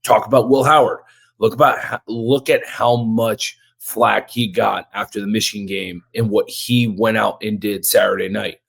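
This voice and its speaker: A man co-hosting a sports podcast, hoarse from yelling, talking in an excited, emphatic way. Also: fairly low pitch, moderate pace. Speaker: podcast co-host.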